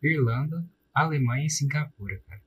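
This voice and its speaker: A man's voice: a little high, animated, brisk, a bit bright, a little hiss or hum. A man with a Brazilian accent hosting a podcast, chatting casually in informal, slangy speech. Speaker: podcast host.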